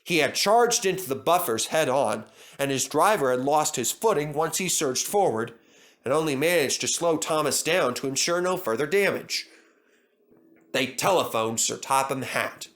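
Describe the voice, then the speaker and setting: A man's voice: a little high, expressive, moderate pace, fairly bright tone. A man narrating a children's story from a script as a storybook reader.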